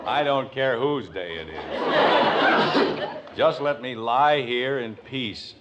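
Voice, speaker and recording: A man's voice: medium pitch, takes it slowly, heavy background noise, close to an everyday voice. An American man acting a proud, exhausted middle-aged suburban father in a 1950s radio sitcom, complaining with dry exaggeration. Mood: groaning, grumbling. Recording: dull old radio recording, loud audience.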